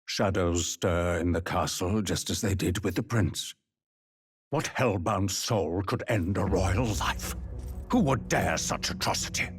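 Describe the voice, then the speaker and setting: A man's voice: low-pitched, a little slow, slightly rough, faint music behind. An actor playing a solemn, mysterious man in a video game, with a grave, formal and theatrical delivery. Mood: grave, indignant. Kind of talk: dramatic English-language game voice acting.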